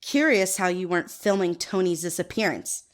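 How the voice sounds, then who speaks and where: A woman's voice: lowish voice, normal speed, lightly acted. A woman playing a gossipy, sassy, accusing wedding guest in an audio drama.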